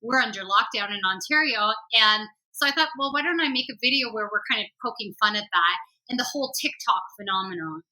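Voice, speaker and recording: Canadian singer lady, soft slightly husky voice, super bright tone, chatty and giggly. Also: mid-range voice, expressive, a little fast, few pauses, poor audio. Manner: chatty, laughs often, sings snippets. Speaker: country-rock singer, interview guest. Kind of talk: casual interview chat.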